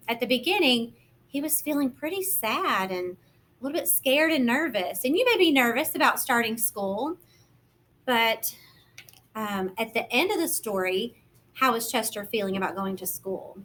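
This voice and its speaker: A woman reading a picture book aloud as a kindergarten teacher, gentle and expressive, explaining simply to young children. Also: fairly high pitch, moderate pace, slight background noise.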